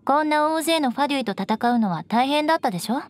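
A woman's voice: sits high, lively intonation, quick pace. A woman voicing a proud, aloof young noblewoman knight in an anime game, with composed, elegant, haughty phrasing. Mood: grudging concern. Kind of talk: Japanese anime game voice acting. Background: orchestral game music with strings.

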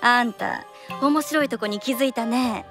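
An actress playing a worldly, caring big-sister courtesan in a period radio drama, teasing and bossy, with familiar old-fashioned speech. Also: female voice, a little high, normal speed, over music, natural voice. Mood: musing.

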